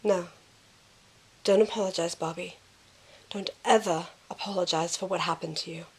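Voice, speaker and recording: A woman playing a caring, reserved young woman in an amateur audio drama, soft-spoken and reassuring. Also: lowish voice, steady tone, moderate pace, breathy edge, rough recording. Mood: tender, reserved.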